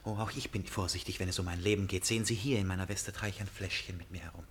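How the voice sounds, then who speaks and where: A man's voice: low-pitched, steady tone, fast, a little hiss or hum, natural voice. An actor in a German radio drama playing an erratic, boastful, needling man who swings between confiding and agitation. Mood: confiding, morbid.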